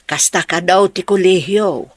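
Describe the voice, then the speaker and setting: A woman's voice: on the low side, a little slow, no room sound. A woman acting an easygoing villager in an audio drama, with a Filipino Ilocano accent. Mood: chatty.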